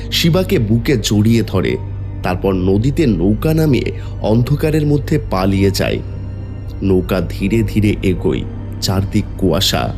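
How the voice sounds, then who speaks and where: A man's voice: fairly low pitch, darkish tone. A man narrating a scripted, dramatic horror and devotional story over music.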